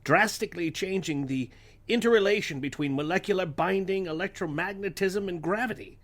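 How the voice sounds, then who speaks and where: A man's voice: high-pitched, brisk, fairly bright tone. A man playing a grave, learned professor who speaks precisely and with authority in a radio-play reading. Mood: urgent, grave.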